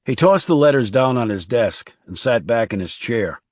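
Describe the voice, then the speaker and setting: A man's voice: mid-range voice, lively intonation, normal speed, darkish tone, no room sound, studio quality. A man narrating a thriller audiobook from a script.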